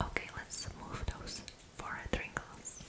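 Slow whispering young woman, ASMR. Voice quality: breathy, unvoiced whisper. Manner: gentle, soothing, repeats words softly. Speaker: ASMR creator in a roommate roleplay. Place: small indoor room.

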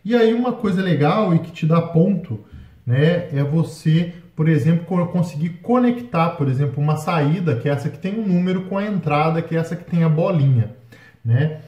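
A man's voice: a little high, expressive, normal speed, dark tone. A Brazilian man with a Brazilian Portuguese accent, walking through a solo board game at a table, explaining with many fillers and tag questions. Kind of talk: solo gameplay walkthrough. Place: indoors at a table. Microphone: overhead camera, hands only. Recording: roomy, dull lo-fi sound.

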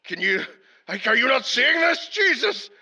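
A man's voice: extremely high pitch, very animated, measured pace, sharp tone, heavily acted. A man playing a frightened, bossy, unhinged boss in an improvised comic game dub, rambling and commanding. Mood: panicked.

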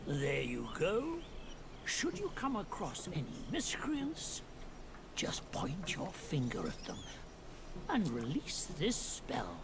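A man's voice: on the high side, creaky, background noise, exaggerated voice. An actor playing an old, bearded male temple keeper spirit in a game cutscene, wry and cryptic, with a theatrical, sing-song delivery. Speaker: game character. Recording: game audio with loud music.

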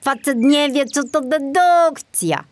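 A woman's voice: high voice, animated, takes it slowly, dry recording, voice pushed up. A woman voicing a cheeky small male rat detective in a storybook, brash and offhand. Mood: proud, scornful.

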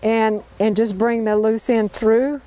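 A woman's voice: a little high, fairly even intonation, slow, mellow, low-quality recording. A woman teaching a group to tie knots outdoors in a park, upbeat, repeating each step and checking in with the group. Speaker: knot-tying instructor. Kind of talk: hands-on outdoor demonstration.

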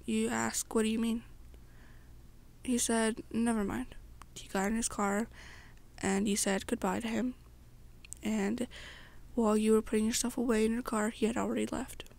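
A young woman softly narrating a second-person story in an amateur ASMR style. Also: a little high, level tone, moderate pace, creaky, pauses often.

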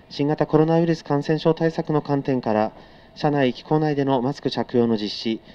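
A man's voice: medium pitch, warm tone, clean tone. A man working as a train conductor, making polite, formal, routine announcements over the onboard PA. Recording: dull, muffled train PA.

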